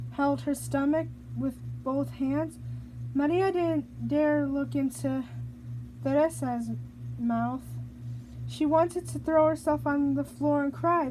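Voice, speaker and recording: Woman storybook reader, high-pitched and unhurried, halting delivery with rereads, slight noise on the recording. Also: darkish tone, poor audio. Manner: halting, stumbles and rereads phrases. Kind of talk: reading a children's picture book aloud.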